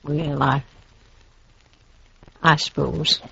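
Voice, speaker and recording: A woman's voice: low-pitched, level tone, drawn-out words, vocal fry, background noise, heavily acted. A woman with a rural American accent playing a stubborn, feisty frontier widow in a 1950s Western radio drama, on a muffled vintage recording. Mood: grudging.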